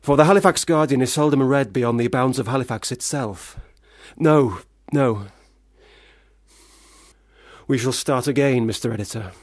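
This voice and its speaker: An actor as troubled young writer, dry studio sound. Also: male voice, mid-range voice, normal speed, dry recording, natural voice. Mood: rambling, anxious. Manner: theatrical, repetitive, swinging from loud protest to muttering. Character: troubled young failed writer son, drinking, self-doubting, pleading. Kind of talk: radio play acting.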